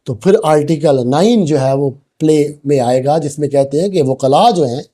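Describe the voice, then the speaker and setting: A man's voice: fairly high pitch, moderate pace, dark tone. A man with a Pakistani accent lecturing didactically, explaining point by point and mixing in English legal terms. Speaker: law lecturer.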